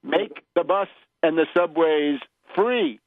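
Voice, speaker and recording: A man's voice: a little high, slow, dry recording. A man hosting a conversational political radio interview, explaining things in a professorial way with rhetorical asides. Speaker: radio show host. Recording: muffled lo-fi radio audio.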